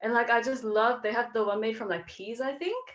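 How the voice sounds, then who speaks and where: A woman's voice: a little high, a little fast. A woman from Australia, co-hosting a podcast in a chatty way, often saying "like". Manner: chatty, fills speech with "like". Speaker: podcast co-host. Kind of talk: casual podcast chat.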